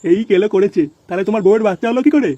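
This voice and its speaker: A man's voice: high-pitched, rapid-fire, warm tone, lo-fi sound, lightly acted. A man voicing a meek, pleading cartoon man in a comic dub, placating and protesting. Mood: reasoning. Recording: faint background noise.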